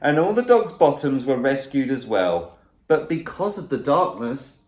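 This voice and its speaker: A male primary school teacher reading a rhyming picture book aloud to children in a playful, lively way. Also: mid-range voice, reverberant.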